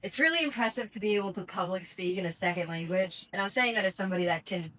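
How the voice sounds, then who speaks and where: A woman giving friendly feedback in a public speaking group, talking quickly and fluidly. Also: mid-range voice, bright, forceful tone, low-quality recording. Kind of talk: casual supportive feedback.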